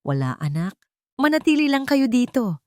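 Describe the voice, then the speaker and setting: A woman's voice: high-pitched, big pitch swings, normal speed, a little mellow, no room sound, studio quality, pitched above the performer's own voice. A woman voicing a frightened but defiant mother in a dramatic story, trembling yet firm. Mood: anxious, protective. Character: frightened but defiant young mother protecting her children.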